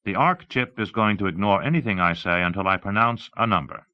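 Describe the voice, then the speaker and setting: A man's voice: fairly low pitch, animated, fairly quick, natural voice. A man narrating an audiobook, voicing an eager, showy salesman with emphatic, carefully enunciated speech in slightly muffled audio. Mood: confident, showy. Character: eager, showy salesman demonstrating voice software to an audience. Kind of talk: audiobook character dialogue.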